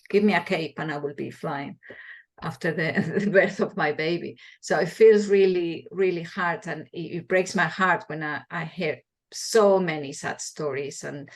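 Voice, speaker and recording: A woman in her forties from Spain with a Spanish accent, a midwife answering interview questions in a passionate, earnest way, often restarting her words. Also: low voice.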